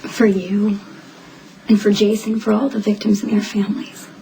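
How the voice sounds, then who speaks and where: An actress playing a solemn, ghostly woman who coaxes in a hushed, breathy, slow manner in a drama. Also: medium pitch, flat delivery, a little hiss or hum, lightly acted, rough recording. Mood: solemn, coaxing. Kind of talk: dramatic audio or screen acting.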